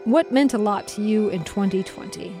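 A woman's voice: medium pitch, normal speed, warm tone. A woman podcast host speaking to listeners in a scripted, direct-address style. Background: faint music.

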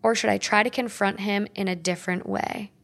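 A woman co-hosting an advice podcast, reading a listener's letter aloud in an even, steady way. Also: mid-range voice, brisk, vocal fry.

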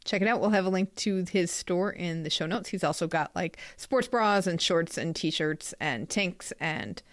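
A woman co-hosting a sports podcast in casual chat, chatty and quick to laugh. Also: on the low side, lively intonation, no echo, studio quality. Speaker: podcast co-host.

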